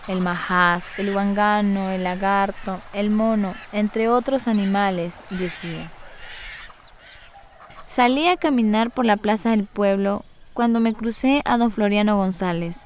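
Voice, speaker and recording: A woman's voice: mid-range voice, a little hiss or hum. A woman, narrating a scripted first-person documentary radio story.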